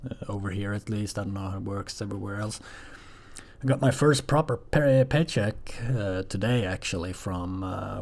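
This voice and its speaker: A man talking casually in a rambling personal audio log, halting and hesitant, with coarse everyday wording. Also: lowish voice, a little mellow. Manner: hesitant, halting, frequently swearing.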